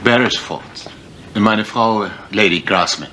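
An actor playing a brisk, wry husband turned amateur detective in a German radio mystery, polite, dry and assured. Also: male voice, lowish voice, pushed and bright, poor audio. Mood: concerned, polite. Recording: faint noise, retro radio play.

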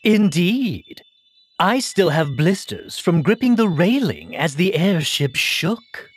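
Level-headed young fantasy game woman: matter-of-fact, husky, lower register, expressive. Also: slow, exaggerated voice. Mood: wry. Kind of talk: video game voice acting.

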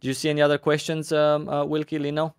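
A man hosting a livestream panel on a headset mic, reading viewer questions aloud with many pauses and fillers. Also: on the high side, monotone, clear, resonant tone, dry recording, clean studio sound. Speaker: host.

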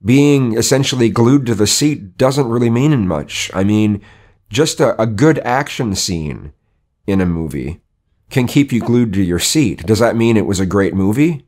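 Hoarse middle-aged male vlogger, slightly low voice, rambling opinion monologue. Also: lively intonation, a little slow, a little mellow. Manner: rambling, frequent restarts and rhetorical questions. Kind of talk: casual opinion monologue to camera. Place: indoor studio with colored backdrop.

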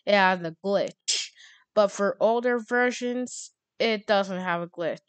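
Female tutorial host, casual and halting, clear-voiced, closely recorded with no room sound. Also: mid-range voice, no room sound.

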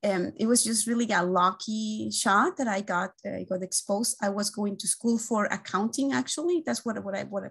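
Earnest woman presenting, halting. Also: medium pitch, creaky, a bit bright, husky. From Guatemala. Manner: earnest, halting, with frequent "uh" fillers and restarts. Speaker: engineering team lead. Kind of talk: webinar presentation over slides. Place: indoor room. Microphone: video call webcam.

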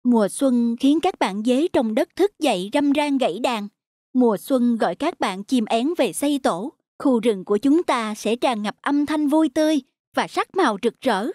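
Woman playing a gentle high-voiced flower mother. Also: expressive, normal speed, no room sound, studio quality, lightly acted. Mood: wistful, resigned. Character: gentle snowdrop flower mother, tender and resigned. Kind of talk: children's cartoon dubbing.

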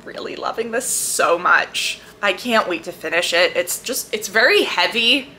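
A woman book vlogger chatting casually and enthusiastically to camera, leaning hard on emphatic, drawn-out words. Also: mid-range voice, expressive, pushed and bright, slight background noise. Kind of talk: casual chat to camera about books.

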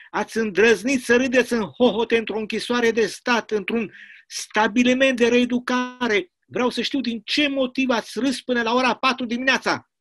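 Man acting out communist prison governor, bullying, indignant, menacing, raised pitch, emphatic interrogation, stage play. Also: a bit bright.

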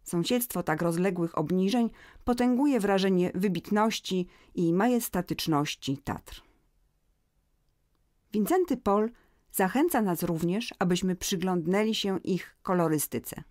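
A woman narrating a scripted educational audio guide in a measured, informative manner. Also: medium pitch, a little mellow, no echo, studio quality.

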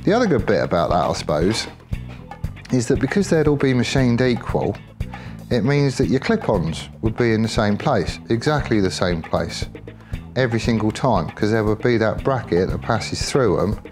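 An English man with a fairly low voice talks through a hands-on bike build. He is chatty and colloquial, working out his ideas aloud as he goes, with music playing behind him. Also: big pitch swings, normal speed. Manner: thinks out loud, chatty and colloquial. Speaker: motorcycle builder presenting a workshop project. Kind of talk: casual off-the-cuff workshop commentary.

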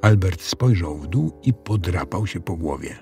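A man narrating a children's story in an expressive, scripted storytelling style. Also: low voice, unhurried, faint music behind.

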